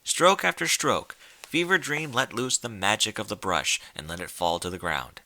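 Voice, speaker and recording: A man reading stories aloud in a theatrical, deliberate style of dramatic scripted narration. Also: lowish voice, expressive, vocal fry, sharp tone, dry recording. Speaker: story reader.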